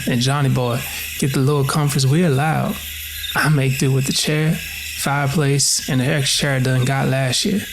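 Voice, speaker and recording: Weary eldest son in slavery-era radio play, played by a man: Southern, mid-pitched, brooding, bitter yet dutiful. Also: gravelly, noisy background, close to an everyday voice. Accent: Southern American. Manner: folksy, brooding. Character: weary, embittered enslaved eldest son, dutiful to his mother. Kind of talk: audio drama acting, monologue and dialogue.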